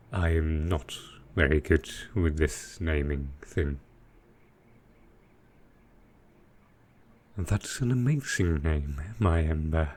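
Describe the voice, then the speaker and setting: A man playing a gentle, earnest dragon boyfriend in an intimate roleplay audio, musing softly and naively. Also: rumbling low, heavily acted. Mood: musing, content.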